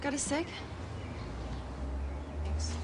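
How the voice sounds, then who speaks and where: A woman's voice: low-pitched, huge pitch swings, very slow, very noisy, exaggerated voice. A young actress playing an irritable, defiant teenage girl in a naturalistic drama. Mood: guarded. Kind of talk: naturalistic teen drama acting.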